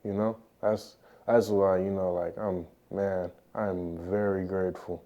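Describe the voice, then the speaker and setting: A man in his early twenties giving a personal interview in a halting, reflective manner. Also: deep voice, flat delivery, muffled recording. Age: early 20s. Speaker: youth who aged out of foster care.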